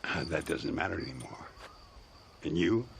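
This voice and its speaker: An actor playing an aging, wry and world-weary gunslinger in a Western video game, with a gravelly, drawling, theatrical delivery. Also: male voice, a bass voice, expressive, moderate pace, a little hiss or hum, heavily acted. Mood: weary, reflective. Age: older. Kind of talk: game cutscene acting, Western drama.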